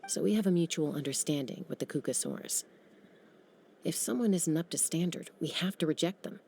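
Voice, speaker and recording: A woman voicing a young female flying-squad rider who talks calmly and reassuringly in a video game. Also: low voice, a little fast, creaky, slight background noise. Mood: explaining. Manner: calm, reassuring.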